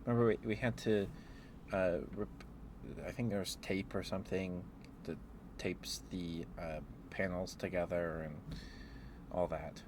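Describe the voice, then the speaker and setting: Low-voiced man rambling in ASMR, noisy recording. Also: steady tone, measured pace. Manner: rambling, playful. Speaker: streamer hosting a live ASMR stream. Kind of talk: ASMR, casual rambling chat to live viewers.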